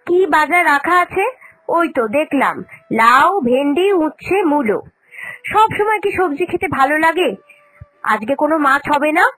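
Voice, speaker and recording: Woman as a high-pitched, nagging daughter-in-law. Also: expressive, a little slow, a bit bright, no echo, low-quality recording. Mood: impatient. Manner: whining, complaining. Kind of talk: cartoon voice acting.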